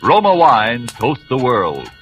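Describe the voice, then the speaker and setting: Announcer guy, slow and grand, old radio opening with music behind. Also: medium pitch, huge pitch swings. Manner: grand, declamatory. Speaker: sponsor announcer. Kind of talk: 1940s radio show opening.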